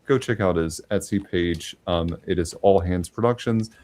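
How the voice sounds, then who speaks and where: A male podcast host who is losing his voice, thanking the listeners in a halting way with many fillers. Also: sits low. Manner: warmly grateful, halting with fillers. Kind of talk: podcast outro.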